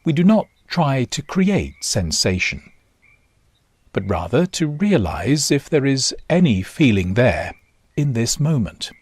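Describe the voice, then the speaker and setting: A man guiding a body scan meditation in short, measured instructions with long pauses. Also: medium pitch, sing-song, slightly rough, dry recording. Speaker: meditation guide. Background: nature sounds.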